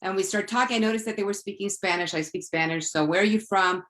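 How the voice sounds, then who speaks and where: A woman's voice: medium pitch, fast talker. A woman from Florida, moderating an online group, tells a personal story casually and acts out the dialogue as she goes. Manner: animated retelling with acted-out dialogue. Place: online live video call.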